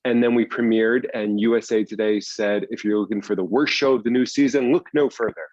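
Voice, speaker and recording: A man from the US East Coast talks casually as an interview guest. His voice is on the low side, and the recording is dry and a bit lo-fi. He explains things in an unassuming, self-deprecating way. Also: steady tone, fairly quick, a little mellow, no echo. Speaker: guest, TV writer and teacher.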